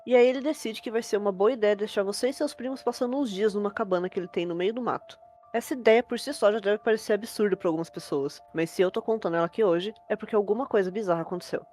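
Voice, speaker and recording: A woman's voice: medium pitch, fast, mellow, faint music behind. A young Brazilian woman telling a scary story as host, in casual scripted narration. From Brazil, from a capital city.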